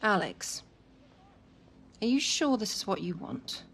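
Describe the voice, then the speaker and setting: A woman playing a measured, businesslike football club manager in a video game cutscene, with music behind. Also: mid-range voice, big pitch swings, creaky, a bit bright, heavily acted. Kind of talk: cinematic game cutscene acting.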